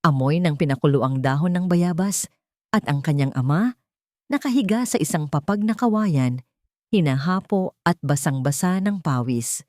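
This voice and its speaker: A woman narrating a scripted audio drama in a solemn, deliberate, dramatic storytelling style. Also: deep voice, lively intonation, a little mellow, dry recording, clean studio sound.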